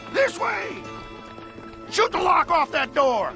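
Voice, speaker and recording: An actor playing a fierce gunfighter in a video game, loudly shouting battle orders. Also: male voice, extremely high pitch, very animated, moderate pace, vocal fry, soft background music, heavily acted. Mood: fierce, urgent. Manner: loud, shouting.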